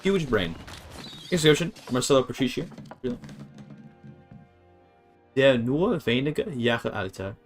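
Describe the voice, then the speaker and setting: A man's voice: mid-range voice, big pitch swings, slow, long pauses, faint music behind. A man casually streaming a game, thinking aloud as he reads his chat and works through grammar. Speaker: streamer learning a language. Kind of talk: casual live-stream commentary.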